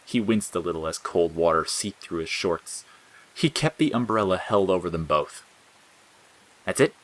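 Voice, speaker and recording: A man's voice: low-pitched, moderate pace, rough recording. A man reading scripted fiction as the narrator.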